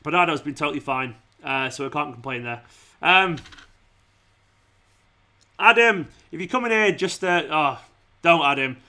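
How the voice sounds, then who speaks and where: Bright, higher-voiced man hosting a football livestream. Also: animated, moderate pace, long pauses. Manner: informal matey address, often saying "man", "mate" and "pal". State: losing his voice. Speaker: fan channel livestream host. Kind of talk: football watchalong stream, casual chat with viewers.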